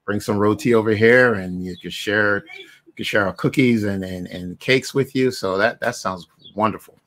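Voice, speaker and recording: A man's voice: fairly low pitch, moderate pace, a bit bright. A middle-aged man from Barbados hosting a podcast over a video call, chatting in an easygoing way with lots of "you know" fillers. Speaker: podcast host. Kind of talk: casual interview chat. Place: home room with shelves of figures.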